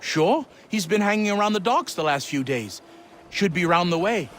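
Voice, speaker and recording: A man's voice: high voice, animated, normal speed, a bit bright, slight background noise. An actor playing a helpful, casual colonial townsman in a video game, talking in short, clipped sentences. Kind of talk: video game character acting.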